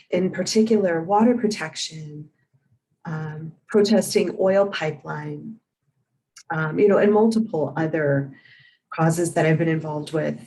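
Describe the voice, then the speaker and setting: Woman, sign language interpreter, measured, steady, lowish pitch, distant mic on video call. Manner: measured, steady, spells names letter by letter. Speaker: sign language interpreter voicing a deaf panelist. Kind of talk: live spoken interpretation.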